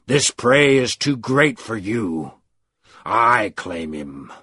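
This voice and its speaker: A man voicing an unseen, deep and resonant presence that speaks slowly and menacingly. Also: sing-song, pushed and bright, slightly rough, no room sound, studio quality, full character voice. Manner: slow, menacing, commanding. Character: deep deadly unseen male voice, commanding.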